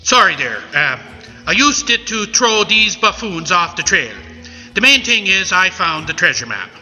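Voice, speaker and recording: An actor playing a pompous, bumbling pirate swindler in a comic radio play. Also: male voice, sits high, sing-song, unhurried, bright, forceful tone, exaggerated voice. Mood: scheming, flustered. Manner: pompous, melodramatic threats, bumbling. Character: blustering villainous pirate swindler with muddled accent. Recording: echoey event hall, music behind.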